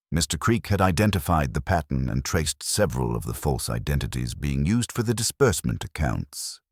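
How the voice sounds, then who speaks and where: A man narrating a mystery story in a measured, formal, literary manner. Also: a bass voice, no echo, clean studio sound. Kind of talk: scripted audiobook-style narration of a mystery story.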